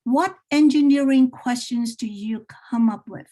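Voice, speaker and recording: A woman demonstrating read-aloud questions for educators as a workshop presenter, gently prompting as she goes. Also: on the high side, a little slow. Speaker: teacher trainer presenting a workshop.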